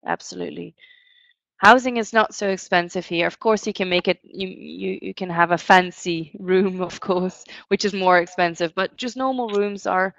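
A woman's voice: fairly low pitch, moderate pace, creaky, a bit bright, dry recording. A woman in her thirties, a university staff member, answering questions on a live webinar through a headset, hesitant with frequent fillers. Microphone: headset on a video call. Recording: dry, dull lo-fi call audio.

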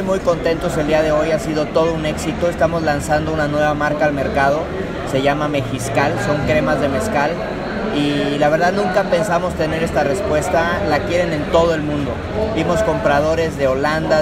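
Man, 30s, Mexican exhibitor; upbeat, flat-toned pitch over heavy hall noise. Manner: eager, repeats words when excited. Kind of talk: trade show testimonial. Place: busy trade show hall. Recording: loud hall noise behind.

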